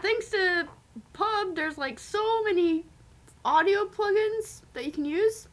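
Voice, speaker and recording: A woman's voice: very high voice, slow, a bit bright, full character voice. A woman voicing a perky, cheeky little mascot bird, playful and eager as a show's sidekick. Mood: helpful, chipper. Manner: chirpy, playful, interjecting.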